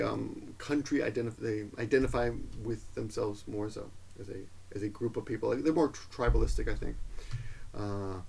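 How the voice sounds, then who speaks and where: A man's voice: medium pitch, vocal fry, a little mellow, a little hiss or hum. A man from Peru explaining vocabulary in a casual video lesson, rambling and correcting himself often. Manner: rambling, self-correcting explanations with many fillers. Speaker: language teacher.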